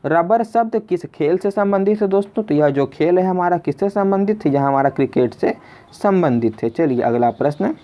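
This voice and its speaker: A man's voice: sits high, lively intonation, normal speed, dark tone. A man hosting an exam lesson in a question-and-answer style, addressing listeners as friends and repeating his questions. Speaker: quiz teacher and video host. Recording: dull lo-fi voice recording.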